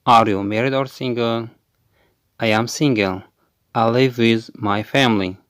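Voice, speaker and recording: A man teaching a language lesson, repeating each example phrase twice slowly and explaining quickly in between. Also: lowish voice, no room sound, studio quality. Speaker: English teacher.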